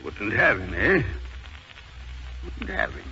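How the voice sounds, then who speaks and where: An actor playing an older steel mill owner, a gruff, emphatic father in a 1940s radio drama. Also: fairly low pitch, wildly swooping pitch, slow, pushed and bright, exaggerated voice. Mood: indignant. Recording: noisy 1940s radio broadcast, muffled.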